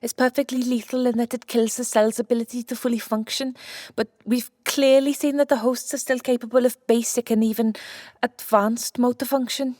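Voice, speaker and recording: A woman playing a brisk, clinical scientist wife in an audiobook drama, matter-of-fact and firm. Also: a little high, fairly even intonation, a little mellow, dry recording, clean studio sound, close to an everyday voice. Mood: clinical, analytical. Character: Santa's brisk, clinical scientist wife, immortal, coolly determined.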